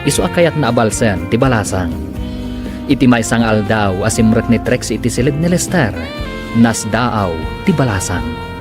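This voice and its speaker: A man's voice: medium pitch, animated, moderate pace. A man with a northern Philippine accent narrating and announcing a scripted radio drama serial. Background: music.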